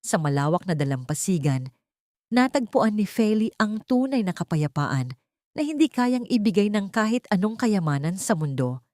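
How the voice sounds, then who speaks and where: A woman with a Filipino accent narrating a scripted dramatic story in a measured, sentimental, literary way. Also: mid-range voice, darkish tone, no echo, clean studio sound.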